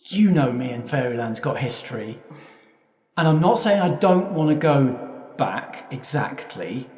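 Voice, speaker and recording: A man's voice: medium pitch, lively intonation, measured pace, a little mellow, close to an everyday voice. A man improvising a bitter, sweary companion in a roleplay, blunt, grumbling and matey, heard with heavy echo. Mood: grudging, resentful. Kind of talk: improvised roleplay for an actual-play podcast. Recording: echoey, long hall-like reverb.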